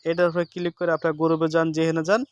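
A man talks through a casual how-to in a fairly high, very smooth voice. He is instructive and often repeats each step. The sound is dry and close, with little room echo. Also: monotone, racing. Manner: instructive, repeats steps and seeks confirmation. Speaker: tech tips host. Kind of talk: casual screen-recording tutorial.

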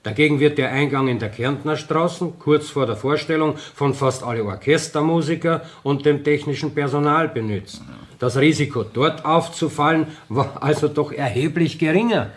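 An actor playing a methodical, deferential police investigator with an Austrian accent in a radio crime drama. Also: male voice, a little high, normal speed, natural voice. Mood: insistent.

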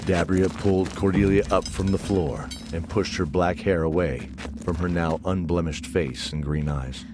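A man's voice: sits low, fairly even intonation, moderate pace, background noise. A man narrating a scripted fantasy audio drama.

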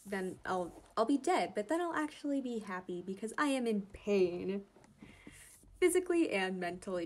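A woman chatting casually as she reacts to fan comics, rambling and gushing with a giggly manner. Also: medium pitch. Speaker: host reading fan comic dubs and commenting.

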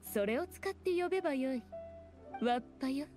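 A woman playing a teasing, regal fox shrine maiden in a video game, with archaic lofty speech and an amused, unhurried manner. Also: high-pitched, lively intonation, warm tone, faint music behind. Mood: playful, teasing. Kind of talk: scripted video game voice acting.

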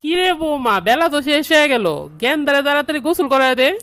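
A woman's voice: high-pitched, fast talker, fairly bright tone, dry recording. A woman voicing a bossy, fussing grandmother in a comedy cartoon, with a Bangladeshi accent and a commanding, insistent manner. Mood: bossy, scolding. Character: bossy, fussing grandmother, doting mother-in-law. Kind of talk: comedy cartoon dialogue in colloquial dialect.

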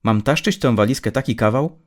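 A man's voice: mid-range voice, fairly quick, pitched above the performer's own voice, lightly acted. A man voicing an anxious, reluctant teenage girl in an audiobook, with a questioning, pleading manner. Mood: indignant.

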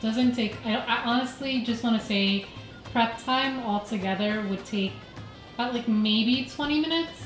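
Woman explaining a recipe at home, easygoing, echoey kitchen with soft music behind. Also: a little high, moderate pace. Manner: calm step-by-step explaining with fillers. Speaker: home cook guest. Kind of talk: casual cooking instruction. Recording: echoey room, faint music.

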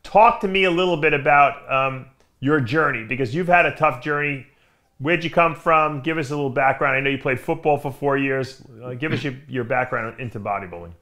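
A middle-aged man hosting a video interview show from a studio, asking questions with lots of quick interjections. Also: mid-range voice. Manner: energetic, interjecting often. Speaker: host. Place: studio with branded backdrop.

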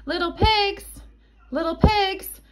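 A little storybook girl, acted out by a woman, eager and easily startled. Her voice leaps high above ordinary talk. She speaks in bursts of exclamation. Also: steady tone, slow, slight background noise. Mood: pleading.

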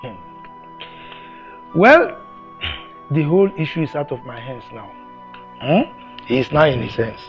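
An actor playing a family elder who reasons and reproaches in turn. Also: male voice, mid-range voice, sing-song, unhurried, long pauses, soft background music, rough recording. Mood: reproachful.

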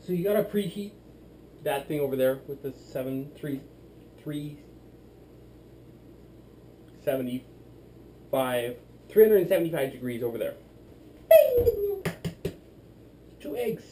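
A man's voice: on the high side, huge pitch swings, takes it slowly, pauses often, mellow, slight background noise. A drunk young man rambling and playing silly while he bakes in a small home kitchen, recorded by a camera across the room. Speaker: vlogger. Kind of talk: drunken cooking demo vlog.

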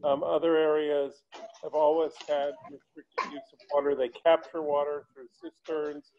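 An older man answering as a panelist on a video call, steady and explanatory with frequent filler sounds. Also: on the high side, normal speed. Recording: dull lo-fi video call audio.

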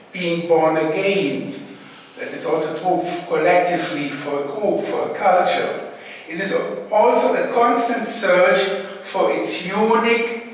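A man in his sixties with a German accent, his native tongue, lectures in a high-pitched voice. He sets out each phrase deliberately and with emphasis, in formal academic style. The sound is very echoey and distant, as if picked up across a hall. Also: fairly bright tone. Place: indoor hall, standing at a lectern. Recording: echoey hall, distant mic, faint noise.

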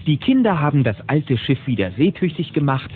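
A male narrator tells a children's adventure play with dramatic, animated intonation. His pitch is mid-range. The sound is narrow and hissy, like an old cassette. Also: fairly quick. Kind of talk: scripted dramatic storytelling for children's audio drama.

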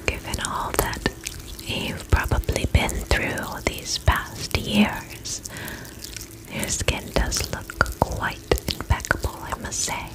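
A young woman playing a gentle vampire spa attendant in a soothing, breathy ASMR whisper, slow and polite, close to the microphone. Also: lightly acted, poor audio. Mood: soothing, attentive. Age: young adult.

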